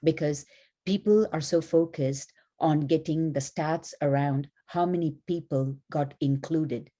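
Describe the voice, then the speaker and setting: A woman panelist who grew up in India, speaking in a thoughtful, measured way with frequent "you know", joining a panel over a video call. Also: low-pitched, mellow. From born in Malaysia, grew up in India. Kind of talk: panel discussion remarks.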